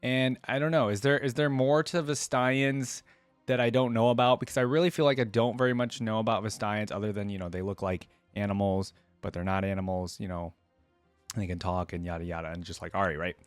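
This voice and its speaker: A man's voice: on the low side, flat delivery, brisk, clean tone, dry recording, soft background music. A man giving casual reaction commentary as a video host, rambling as he thinks aloud. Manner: rambling, thinks aloud, repeats "like" and "you know".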